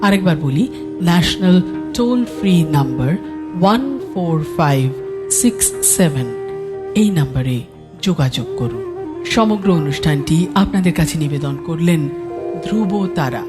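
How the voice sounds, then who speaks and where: Announcer: man, a little high in pitch, formal and measured, public-service script over music bed. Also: animated. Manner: formal, measured phrase-by-phrase delivery.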